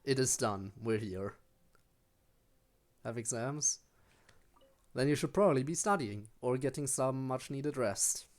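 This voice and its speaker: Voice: medium pitch, measured pace, pauses often, darkish tone. A game streamer with a mid-range voice talks casually to viewers during play. The delivery is chatty and rambling, drifting into personal asides. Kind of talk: casual live stream chat while playing a game.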